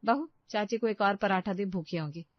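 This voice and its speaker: A woman's voice: mid-range voice, normal speed, dry recording, lo-fi sound, lightly acted. A woman voicing a sensible, mildly scolding woman of the family in a story. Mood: calming.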